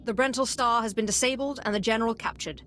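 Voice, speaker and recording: An actress playing a cool, commanding dark sorceress in a game, curt and controlled. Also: female voice, on the high side, quick pace, slight background noise. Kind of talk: dramatic game cutscene acting.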